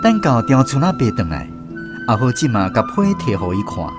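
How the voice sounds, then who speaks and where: A man's voice: mid-range voice, wildly swooping pitch, moderate pace, loud music behind the voice. A man with a Taiwanese accent narrating a first-person story expressively for radio drama.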